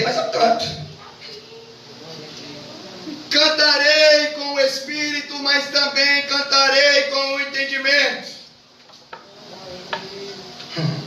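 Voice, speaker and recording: A Brazilian man with a high-pitched voice preaches fervently to his congregation. He repeats his phrases and presses listeners with questions. The sound is heavily echoing and distant from the mic, as in a church. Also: sharp tone. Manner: repetitive, exhorting, often addressing listeners with rhetorical questions. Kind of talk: fervent Pentecostal sermon to a congregation. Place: church service indoors. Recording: very echoey church, distant mic, clear noise.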